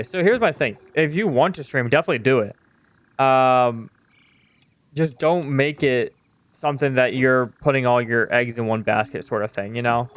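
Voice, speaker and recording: A man's voice: mid-range voice, a bit bright, smooth. A young man streaming a game and chatting with viewers in a loose, rambling way, full of fillers and casual swearing. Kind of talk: casual live-stream chat while gaming.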